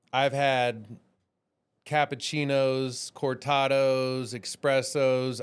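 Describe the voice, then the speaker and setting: Smooth-voiced enthusiastic podcast host man. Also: mid-range voice. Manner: enthusiastic, casual "bro" talk. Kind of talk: casual chat.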